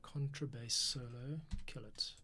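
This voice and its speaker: Man, casual editing commentary, mutters to himself, loud music behind. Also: medium pitch, steady tone, unhurried. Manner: muttering to himself, humming and counting along. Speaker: podcast editor and host. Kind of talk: casual think-aloud commentary while editing. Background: loud music, keyboard typing.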